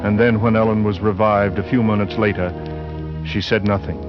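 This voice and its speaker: A man playing a grave, suspenseful storyteller, narrating a 1940s radio thriller with theatrical, deliberate emphasis. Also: fairly low pitch, flat delivery, lightly acted. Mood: tense suspense. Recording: muffled old radio recording, music behind.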